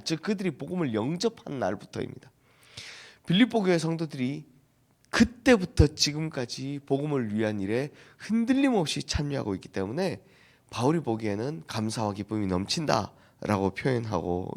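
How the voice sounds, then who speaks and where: A man preaching a dawn prayer sermon as pastor, hoarse from a cold, lively, often asking his listeners a question and then answering it himself. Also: a little high.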